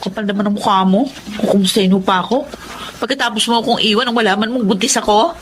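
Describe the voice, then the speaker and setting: A woman's voice: medium pitch, quick pace, background noise, natural voice. A Filipino woman acting an indignant, devoted young wife and mother in a melodramatic radio drama. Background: music.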